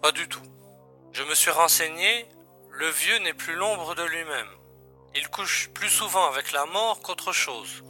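A man's voice: on the high side, expressive, measured pace, bright, forceful tone, faint music behind, exaggerated voice. An actor playing an agent's wry, familiar and matter-of-fact male colleague in a science-fiction audio drama. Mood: gossipy.

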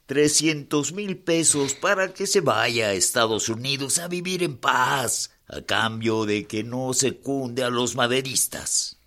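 An actor playing a nervous, deferential old father sent as an envoy in a radio drama, speaking haltingly. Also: medium pitch, a bit bright. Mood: ingratiating.

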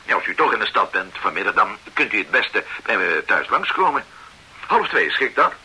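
A man's voice: on the low side, big pitch swings, normal speed, bright, forceful tone, breathy edge, full character voice, rough recording. An actor playing a hesitant, stammering male consultant in a radio play, questioning a client. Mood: curious. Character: fussy male consultant, hemming and probing. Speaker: adviser running an advice bureau.

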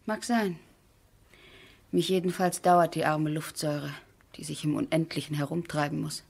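Low-voiced, wry country lady. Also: fairly even intonation, normal speed, vocal fry, husky, exaggerated voice. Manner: composed, polite, gently ironic. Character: cultivated, practical, wry lady of a country estate. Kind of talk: 1970s German radio drama acting.

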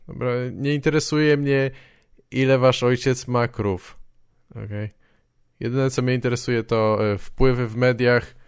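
A man hosting a podcast, talking casually with a dry, deadpan, joking manner. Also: mid-range voice, clear, resonant tone, dry recording. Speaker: podcast host.

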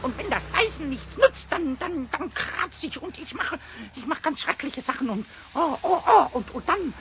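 A tiny, cheeky kobold boiling with temper, extremely high in pitch and swooping wildly. He stammers and repeats himself excitedly in an old children's radio play, on a dull, noisy recording. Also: moderate pace, exaggerated voice. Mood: furious, defiant. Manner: excitable, stammering repetitions, rhyming outbursts. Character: cheeky small male kobold with a fiery temper.

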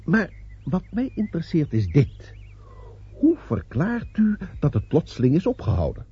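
An actor playing a brisk, politely sceptical newspaper reporter in a radio play, businesslike and wry. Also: male voice, on the high side, wildly swooping pitch, warm tone, husky, heavily acted. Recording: dry, dull old radio play recording.

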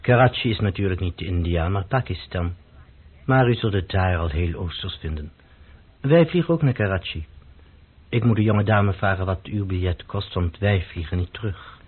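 A grave, courteous foreign airline clerk in a radio play. He has a low, husky voice and a deliberate manner. The recording sounds dull and old. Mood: courteous, formal. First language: not Dutch or German. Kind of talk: radio drama acting.

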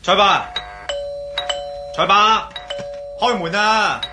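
A man's voice: high-pitched, extremely slow, music in the background. A man playing an insistent, impatient investigator in a radio drama, pressing with questions in Hong Kong Cantonese. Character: insistent, impatient male investigator, master of the house.

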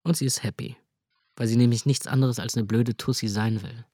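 An actor performing a radio drama monologue, a writer narrating in the first person with a sardonic, scornful tone. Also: male voice, medium pitch, fairly even intonation, fairly quick, dark tone, dry recording, studio quality.

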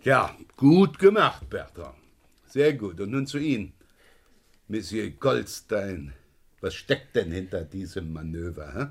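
An actor playing a French chief inspector in a German crime radio play, brisk, probing and wryly authoritative. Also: male voice, fairly low pitch, big pitch swings, heavily acted.

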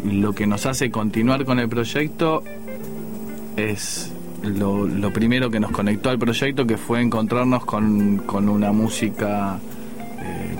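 Low-voiced Rioplatense musician, thoughtful. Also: male voice. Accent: Rioplatense Spanish. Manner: thoughtful, hesitant, many restarts. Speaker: guest musician, guitarist. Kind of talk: radio interview answers. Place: radio studio.

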